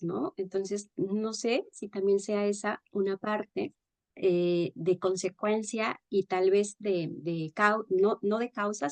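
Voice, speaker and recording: A woman's voice: medium pitch, a little mellow. A woman co-hosting a podcast in casual discussion, searching for words and often ending phrases with a tag question.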